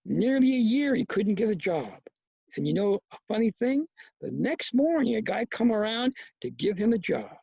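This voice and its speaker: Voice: medium pitch, big pitch swings, normal speed, creaky, dark tone, husky, no room sound, lo-fi sound, pitched above the performer's own voice, heavily acted. A reader voicing a timid, earnest young boy from a novel, talking in a plain, guarded, slangy way. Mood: subdued.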